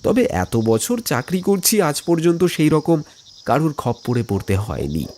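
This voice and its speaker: A man's voice: a little high, wildly swooping pitch, moderate pace, darkish tone, a little hiss or hum. A man narrating a scripted ghost story in the first person, telling it in a dramatic, suspenseful way. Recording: music bed under the voice.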